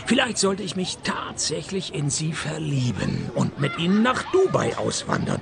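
An actor playing a wry, sardonic private detective in a radio play, with a dry, deadpan, mocking delivery. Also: male voice, a little high, moderate pace, creaky, crowd noise. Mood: dry teasing. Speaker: lead actor and narrator. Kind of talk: radio detective play, scripted narration and dialogue.

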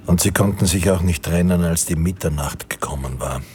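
A man with an extremely deep voice acts as a sober storyteller. His radio play narration is measured and solemn, over faint ambient noise. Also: a little mellow, natural voice. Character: sober, omniscient male storyteller of a war romance.

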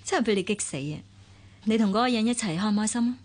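A woman with a Hong Kong Cantonese accent acting a caring, perceptive family confidante in a radio drama, probing and gently admonishing. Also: a little high, rapid-fire, natural voice.

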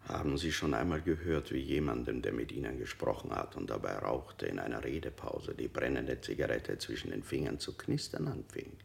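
A man's voice: extremely low pitch, lively intonation, fairly quick, creaky, hardly any pauses, full character voice. An actor playing a cold male interrogator in a radio drama, firing unsettling questions with sudden leaps in his voice. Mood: probing.

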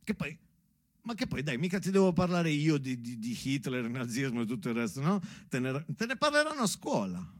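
A man's voice: medium pitch, sing-song, a little fast, no room sound, studio quality. A man playing a father who reads a rambling, ironic letter to his young daughter as a colloquial, rhythmic stage monologue. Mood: tender, hesitant. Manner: sing-song delivery, colloquial and ironic. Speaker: stage actor and writer performing a monologue. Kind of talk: theatrical monologue, rhythmic spoken word.

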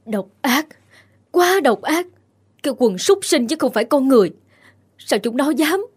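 A woman voicing a loyal, fierce young village woman who speaks in rapid, indignant bursts in an audio story. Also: high-pitched, expressive. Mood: furious.

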